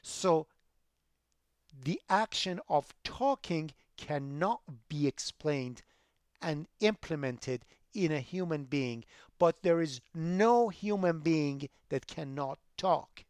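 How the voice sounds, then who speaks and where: A middle-aged man, a college programming instructor lecturing online over a headset, emphatic and rising loud when he makes a point. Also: a little high, expressive, takes it slowly, clear, resonant tone, dry recording, studio quality. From Iran. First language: Persian.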